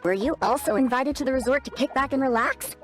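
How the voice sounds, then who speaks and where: A woman voicing a tiny, excitable floating fairy girl companion in a video game, with a squeaky childlike voice and exclamatory delivery. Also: fast, soft background music, natural voice. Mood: curious. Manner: exclamatory, refers to self in third person. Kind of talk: animated video game dialogue.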